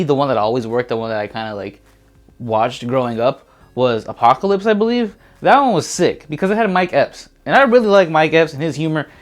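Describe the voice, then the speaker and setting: A man co-hosting a casual movie chat, talking in a laid-back, slangy, rambling way. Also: medium pitch. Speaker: co-host. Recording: music playing behind. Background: background music.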